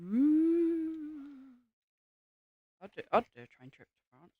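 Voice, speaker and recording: Woman, British accent, teasing, playful stream banter, very lively pitch. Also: no echo, phone-quality sound. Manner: teasing, mocking, quick to laugh. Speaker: co-host of a live build stream. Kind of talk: casual banter while building.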